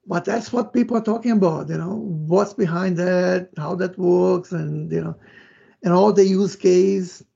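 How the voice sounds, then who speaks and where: A man's voice: high-pitched, a little slow, gravelly, warm tone, husky. A man from Brazil with a Brazilian accent, giving an informal tech presentation in a conversational, loosely phrased way. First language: Portuguese. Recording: dull, muffled lo-fi audio.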